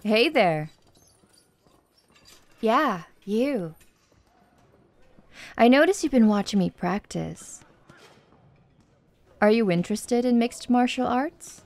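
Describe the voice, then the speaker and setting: A woman's voice: medium pitch, darkish tone. A woman playing a flirty, confident tomboy MMA fighter in an ASMR roleplay, with a playful, teasing, coaxing manner.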